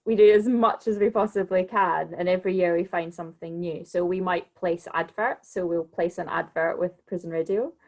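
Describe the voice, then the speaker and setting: A woman with a fairly low voice speaks off the cuff as an arts director on a panel. She thinks aloud, looping back over her phrases as she explains. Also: darkish tone. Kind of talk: spontaneous panel talk.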